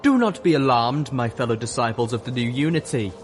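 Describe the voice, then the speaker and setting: A fervent female pony preacher, put on by a male reader declaims to her flock, louder and higher than ordinary talk. The delivery is sermonizing and grand. Music plays clearly underneath the reading. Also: lively intonation, a little fast, natural voice.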